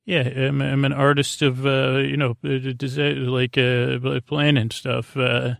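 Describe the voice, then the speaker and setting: A man with a creaky voice hosting a bedtime sleep podcast, talking in a halting, meandering way full of tangents. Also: mid-range voice, level tone, no echo, studio quality. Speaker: podcast host.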